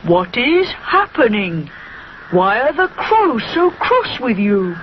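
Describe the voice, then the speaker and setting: A woman voicing a clever young boy elephant in a children's storybook, deliberate and assured. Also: fairly high pitch, very animated, lo-fi sound, slight background noise. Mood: curious.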